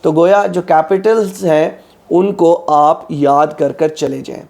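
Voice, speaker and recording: Hoarse tutor with mellow voice. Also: male voice, fairly high pitch, takes it slowly. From Pakistan. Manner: methodical, repeats "ठीक है" often. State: slightly sore throat, clears it. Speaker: exam prep tutor. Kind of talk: instructional lecture.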